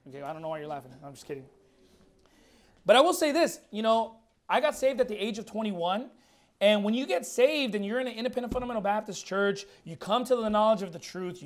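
Man, thirties, high-pitched, hoarse, emphatic blunt preaching, church sermon. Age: 30s. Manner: emphatic, blunt, repeats words. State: losing his voice. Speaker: pastor. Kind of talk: fiery sermon.

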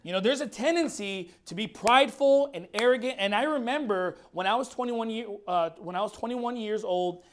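A man's voice: high voice, moderate pace, hardly any pauses, fairly bright tone, slight background noise. A man in his thirties preaching a fiery, blunt sermon in a church while losing his voice. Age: 30s. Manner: emphatic, blunt, repeats words. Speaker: pastor.

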